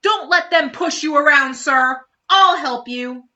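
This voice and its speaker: A woman's voice: high voice, slow, bright, forceful tone, pitched above the performer's own voice, exaggerated voice. A woman voicing an eager, loyal young girl sidekick in a storybook, earnest, plucky and deferential. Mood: brave, insistent. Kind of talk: storybook character voice.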